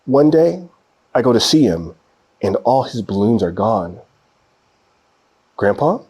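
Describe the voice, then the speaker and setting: A man reading a children's picture book aloud in an expressive storytelling style. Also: on the low side, unhurried, husky.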